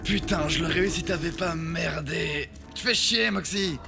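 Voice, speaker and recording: A man's voice: a little high, moderate pace, creaky, over music. A man voicing a crude, sarcastic demon assassin boss in a cartoon fan dub, brash and mocking. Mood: irritated. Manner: foul-mouthed, brash, mocking.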